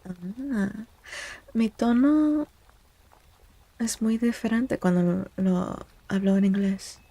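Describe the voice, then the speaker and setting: Soft-spoken woman with a creaky, mellow voice. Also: medium pitch, takes it slowly, no room sound. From United States, born to Mexican parents. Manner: gentle, encouraging, replying to viewers. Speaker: VTuber streamer chatting with viewers. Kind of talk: soft-spoken ASMR casual chat. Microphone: close, dry microphone.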